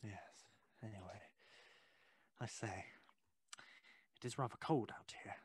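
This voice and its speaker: A male actor playing a courteous, inquisitive Victorian gentleman in an audio drama, hesitant and effusive with old-fashioned phrasing. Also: on the low side, takes it slowly, husky. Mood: polite, uneasy.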